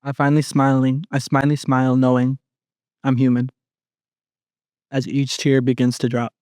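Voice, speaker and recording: Young man, smooth clear voice, dry close sound, earnest measured poetry reading. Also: medium pitch, completely flat delivery, darkish tone, dry recording. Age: young adult. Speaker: student poet.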